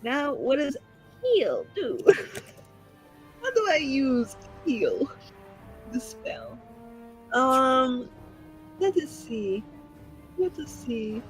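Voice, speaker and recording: A woman's voice: high voice, big pitch swings, very slow, long pauses, soft background music, rough recording. A woman playing a tabletop game, playful, and hesitant while she checks the rules. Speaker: player. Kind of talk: casual tabletop play.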